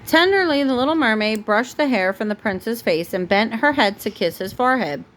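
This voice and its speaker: A woman's voice: on the high side, lively intonation, moderate pace, a bit bright, poor audio. A woman reading a storybook aloud as a mother does for her young child, recorded at home. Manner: breaks off to answer the child patiently. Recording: home recording with clear background noise.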